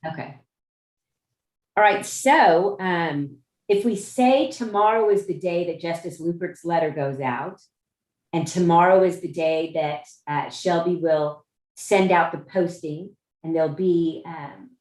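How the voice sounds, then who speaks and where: Low-voiced woman on an echoey video call. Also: measured pace, darkish tone. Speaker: chair of a judicial nominating commission meeting. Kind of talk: informal procedural explanation in a meeting. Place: indoor room on a Zoom conference call. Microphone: video call microphone. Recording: roomy, echoing video-call audio.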